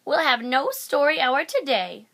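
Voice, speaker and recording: A witchy, unpleasant substitute teacher from a storybook, acted out by a woman reader. Her voice is bright and forceful, pitched on the high side. She hisses and snaps her orders with bossy severity. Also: sing-song, a little slow, full character voice. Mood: strict, threatening. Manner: snapping, hissing, bossy. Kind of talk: storybook character voice.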